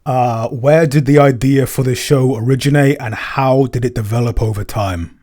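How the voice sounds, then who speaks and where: A man's voice: mid-range voice, moderate pace, darkish tone. A man co-hosting a podcast Q&A in a casual, conversational style. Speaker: podcast producer and co-host.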